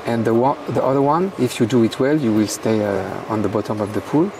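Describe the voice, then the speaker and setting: A man's voice: mid-range voice, recorded from a distance, noisy background. French-accented man explaining, hesitant. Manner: hesitant, halting, many fillers. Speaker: guest commentator. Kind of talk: explaining technique.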